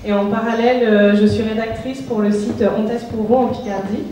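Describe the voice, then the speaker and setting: A woman's voice: a little high, steady tone, moderate pace, mellow. A woman of twenty-two introducing herself on stage as a pageant contestant. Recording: echoey hall from far off, crowd noise.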